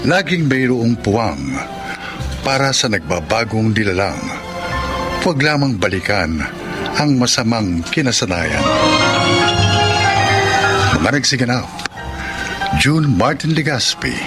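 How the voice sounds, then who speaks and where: A man's voice: medium pitch, big pitch swings, takes it slowly, pauses often, over loud music. A man with a Filipino accent as an announcer, reading a scripted drama epilogue and credits.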